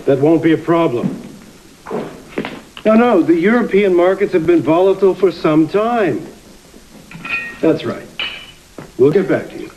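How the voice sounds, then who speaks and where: An actor playing a controlling, wealthy middle-aged uncle with a formal, measured, coolly menacing manner in a television soap. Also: male voice, a little high, lively intonation, muffled recording, noisy background. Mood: brisk, businesslike. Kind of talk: television soap opera acting. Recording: TV drama audio under loud music.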